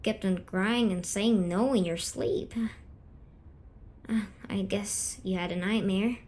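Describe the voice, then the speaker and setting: A woman's voice: mid-range voice, slow pace, a little mellow, lightly acted. A woman playing a worried, exasperated but caring girlfriend in a casual, slangy ASMR roleplay. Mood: relieved, soothing.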